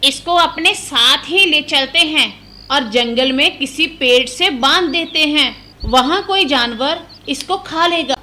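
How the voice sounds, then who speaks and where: A woman's voice: sits high, measured pace, pushed and bright, slight background noise. A woman voicing a cold, scheming villain bird in a cartoon story.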